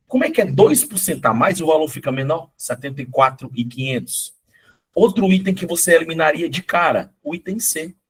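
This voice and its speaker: A middle-aged man, hoarse from a cold, teaching a live online math exam-prep class in a chatty, coaxing way, often checking in with his students. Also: fairly high pitch. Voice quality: husky.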